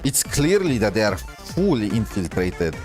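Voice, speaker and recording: Exasperated man reacting, lively pitch. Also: measured pace. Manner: blunt, exasperated, talks over game audio. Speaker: streamer reacting to a game. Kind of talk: casual reaction commentary. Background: game music.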